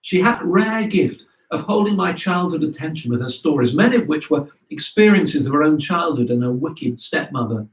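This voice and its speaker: A man's voice: a little high, expressive, normal speed, hardly any pauses. Echoey, distant man reading a memoir aloud. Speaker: reader of memoirs. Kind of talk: scripted memoir reading to a live audience. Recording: roomy echo, distant microphone.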